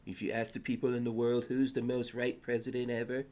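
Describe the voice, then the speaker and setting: A man's voice: mid-range voice, a little fast, very noisy. Chatty male podcast host. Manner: relaxed, chatty, drifts into mumbled asides. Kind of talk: casual chat, reading viewer comments.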